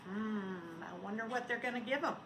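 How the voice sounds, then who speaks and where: A woman, a schoolteacher, talking and reading aloud to young children in simple, expressive phrases. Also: mid-range voice, moderate pace. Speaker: elementary school teacher. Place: indoors at home. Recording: roomy home recording, faint noise.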